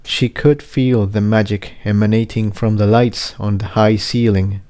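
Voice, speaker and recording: A man reading a scripted story as narration, in a measured way with the odd stumble and repeated word. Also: on the low side, fairly even intonation, a little mellow. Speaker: amateur fan fiction audiobook reader.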